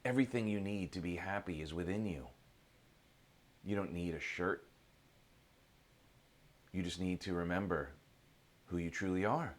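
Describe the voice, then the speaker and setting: A man voicing a wise old village sage in a told fable, patient, measured and instructive. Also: sits low, a bit bright, voice pushed down. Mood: calm, wise.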